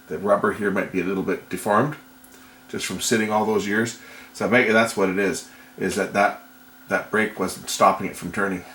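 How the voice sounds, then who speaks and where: A man giving casual hands-on repair commentary, thinking aloud in a tentative, unhurried way. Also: deep voice, pushed and bright. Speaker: repair vlogger. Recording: noticeable background noise.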